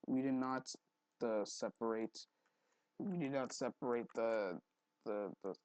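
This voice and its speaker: A man hosting a casual, unscripted screen tutorial, haltingly repeating words and muttering to himself, recorded on a headphone mic at his neck. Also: mid-range voice, darkish tone, no room sound. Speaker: tutorial host. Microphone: headphone mic held at the neck.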